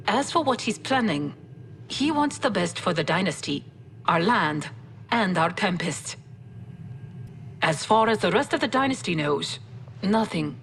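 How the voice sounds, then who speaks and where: A composed game officer, wry and familiar as she briefs. Her voice sits low with plenty of creak, and there is faint noise behind it. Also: a bit bright. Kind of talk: conversational video game briefing.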